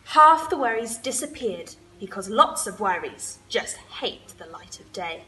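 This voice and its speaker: A woman's voice: mid-range voice, very animated, a little slow, bright, forceful tone, a little hiss or hum, rough recording. A young woman storyteller reading a children's picture book expressively. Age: young adult. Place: indoors, room with wood-panelled wall and sofa.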